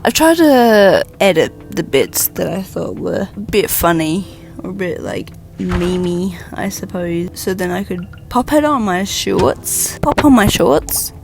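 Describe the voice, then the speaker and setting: A woman's voice: mid-range voice, gravelly. A woman hosting a podcast solo, chatting in a casual, rambling, informal way with a self-deprecating, joking streak. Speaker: podcast host.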